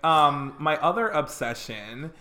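A man's voice: a little high, animated, slow, pushed and bright. A man co-hosting a teachers' podcast, chatting casually and upbeat, laughing a lot and using fillers. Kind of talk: casual chat.

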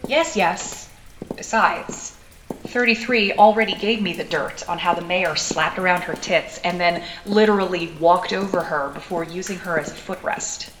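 A woman's voice: fairly low pitch, moderate pace, fairly bright tone, natural voice. A woman playing a tough, inquisitive character in a cyberpunk audio drama, talking in a wry, teasing, sardonic way. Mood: sardonic, curious. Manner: sardonic, probing, playful. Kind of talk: naturalistic audio drama acting. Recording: echoey sewer-like space, background noise.

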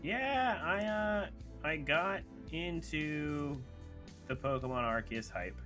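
A man's voice: fairly high pitch, animated, slow, sharp tone, faint music behind. A man co-hosting a podcast, chatting casually in an informal, slangy way. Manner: informal, slangy, bro-style talk. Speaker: podcast co-host on a gaming show. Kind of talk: casual chat.

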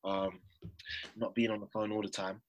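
Young man, low pitch, casual interview guest, informal phrasing. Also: level tone, moderate pace, lo-fi sound. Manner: informal, punctuates phrases with "yeah" and "you know". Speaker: interview guest, business owner.

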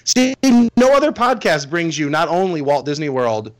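Loud animated male co-host, dry studio sound. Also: fairly high pitch, no room sound. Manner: loud, halting restarts, laughs often. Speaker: podcast co-host. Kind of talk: casual podcast banter.

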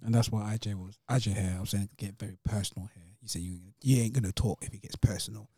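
A man with a low, mellow, husky voice speaks as a podcast panellist. His style is casual discussion, recorded dry. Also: fairly even intonation, fast, dry recording.

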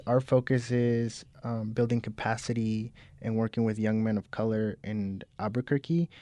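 A man's voice: on the low side, warm tone, no room sound. A man in his twenties from Mexico, a program coordinator, speaking earnestly in a radio interview with occasional fillers. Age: 20s.

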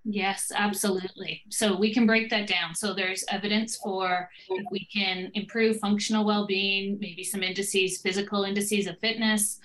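A woman's voice: mid-range voice, flat delivery, moderate pace, a bit bright, a little hiss or hum, rough recording. A woman speaks as a guest expert on a podcast. She explains research in a fluent, confident and orderly way.

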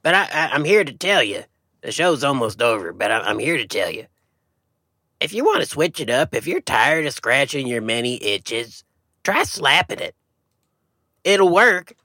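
A man voicing a touchy, silly possum who co-hosts a comedy podcast, with a gravelly muppet-like voice and folksy, indignant manner. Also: mid-range voice, big pitch swings, moderate pace, bright, forceful tone, no echo, clean studio sound. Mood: silly, cheerful. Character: touchy, silly male possum podcast sidekick, a wild animal. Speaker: podcast co-host. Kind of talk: comic banter.

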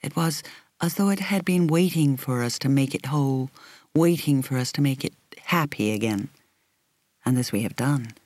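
Measured woman audiobook narrator, very dry sound. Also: a bass voice, a little mellow, no echo. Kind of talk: scripted literary narration, first-person novel reading.

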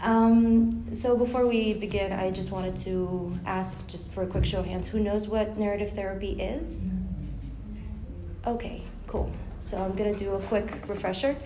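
A woman's voice: medium pitch, steady tone, a little slow. A woman from Ukraine hosting a conference panel, speaking hesitantly with many fillers. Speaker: panel host, psychologist. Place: conference room. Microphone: room mic, recorded for podcast. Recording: echoey room with background noise.

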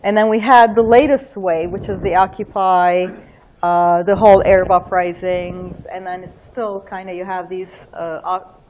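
A middle-aged woman, giving a book talk in a lively, thinking-aloud way with laughing asides. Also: fairly low pitch, normal speed, dull audio, a little hiss or hum. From Turkey. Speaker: invited book talk speaker. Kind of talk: academic talk with anecdotes.

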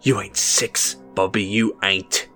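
A man voicing a desperate, volatile gambler father who pleads and then shouts at his young son. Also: on the low side, steady tone, normal speed, faint music behind. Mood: raging.

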